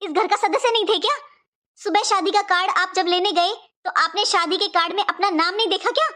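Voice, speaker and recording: A woman's voice: extremely high pitch, a little fast, pushed and bright. A woman voicing an indignant young daughter-in-law in a cartoon melodrama, accusing and dramatic, full of rhetorical questions. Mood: accusing, scornful. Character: indignant young daughter-in-law defending her jilted sister. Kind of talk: Hindi cartoon family melodrama voice acting.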